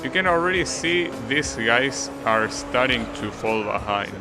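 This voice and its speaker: Slow, gravelly Argentine man. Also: medium pitch, music in the background. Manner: relaxed, musing, talks to chat. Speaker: streamer. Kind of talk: casual live-stream gameplay commentary.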